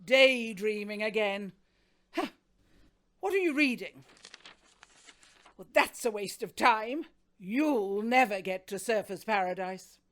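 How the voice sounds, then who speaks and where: A woman's voice: medium pitch, expressive, slow pace, long pauses, fairly bright tone. A woman playing a stern, scornful shopkeeper wife in a radio play, with a curt, scolding way of talking. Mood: scornful, bossy.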